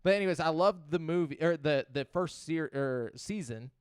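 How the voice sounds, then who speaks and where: A man's voice: on the high side, moderate pace, clear, resonant tone, dry recording, studio quality. A man hosting a casual live-stream podcast, rambling through his thoughts with lots of "like" and frequent laughter as he reads the chat. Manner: loose and rambling, full of "like", laughs often.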